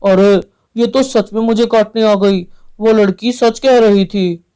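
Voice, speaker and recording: A woman's voice: mid-range voice, steady tone, measured pace, mellow, clear, resonant tone, lightly acted. A woman voicing a proud, grumpy talking tree in a children's story, with a haughty, curt manner. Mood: alarmed.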